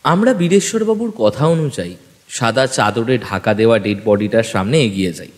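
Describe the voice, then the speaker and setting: A male narrator reads a detective story dramatically. His mid-pitched voice moves widely up and down, set in a long hall-like reverb. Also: animated, moderate pace. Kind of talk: dramatic scripted first-person narration.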